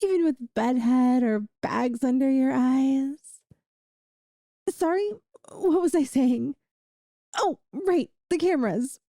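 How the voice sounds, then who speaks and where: A woman performs an adorkable yandere girlfriend with a very high, mellow voice, recorded close and dry. She is doting and possessive, rambling sweetly and earnestly as in a comedic ASMR roleplay. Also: slow pace, pauses often, no room sound. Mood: adoring, pleading. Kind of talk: ASMR audio roleplay, comedic monologue to listener.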